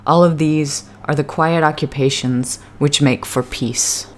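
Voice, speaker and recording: A woman interviewer who reads quotes aloud in a measured, deliberate way, then asks questions. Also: low voice, steady tone.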